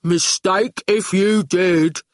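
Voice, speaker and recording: Woman as huge male henchman, storybook character, gruff, terse, clipped phrasing, blunt, dry sound. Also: fairly low pitch, fairly even intonation, slow pace, no room sound.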